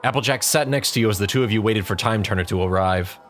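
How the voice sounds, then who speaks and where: A man's voice: fairly low pitch, quick pace, fairly bright tone, faint music behind. A man narrating a scripted story in the second person.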